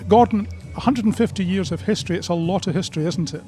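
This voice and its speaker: A man's voice: high voice, quick pace, warm tone, soft background music. A Scottish man presenting sports radio, interviewing a guest live in a conversational way.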